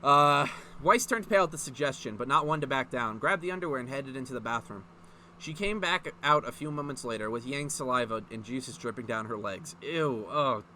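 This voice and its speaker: A man's voice: mid-range voice, expressive, fairly quick, bright, forceful tone, a little hiss or hum. A man reading a story aloud in a mocking, informal way, breaking off to add sweary, incredulous commentary. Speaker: online video host reading fan fiction aloud.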